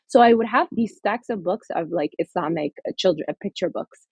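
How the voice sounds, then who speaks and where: Low-voiced husky woman author. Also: a little fast, darkish tone, no echo. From Somaliland. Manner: earnest, reflective, frequent fillers and restarts. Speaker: author and guest. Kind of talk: interview conversation.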